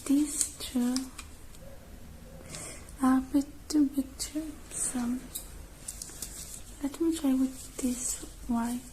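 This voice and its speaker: A woman speaking softly and whispering in an ASMR roleplay, gentle and hushed, drawing out her phrases with pauses. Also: sits high, steady tone, a little hiss or hum, rough recording.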